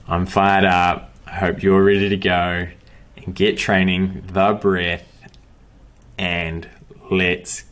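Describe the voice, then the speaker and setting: A young man coaching runners, wrapping up a course in upbeat, motivational short phrases. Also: low-pitched. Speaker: running and breathing coach. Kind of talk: course wrap-up talk to camera.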